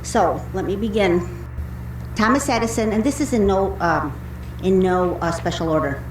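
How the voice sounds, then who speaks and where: A woman making a public comment at a meeting, speaking in a deliberate, earnest way. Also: mid-range voice. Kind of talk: public speech. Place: indoor public meeting room. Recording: echoey meeting room with faint hum.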